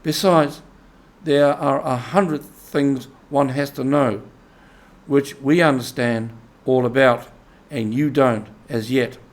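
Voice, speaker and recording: A man's voice: medium pitch, fairly even intonation, slow pace, close to an everyday voice. A man voicing a kindly, experienced water rat in a storybook, with a hearty and earnest manner. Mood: soothing, advising. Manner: soothing, hearty, earnestly advising.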